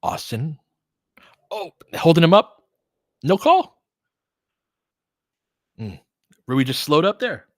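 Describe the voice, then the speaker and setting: A man hosts live basketball commentary in a relaxed, chatty style. He follows the play in short, clipped phrases and openly sides with his team. The sound is dry, with very little room in it. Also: on the high side, takes it slowly, long pauses, dry recording. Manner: conversational, reacting as a partisan fan in short clipped phrases. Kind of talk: casual live play-by-play commentary mixed with fan chat.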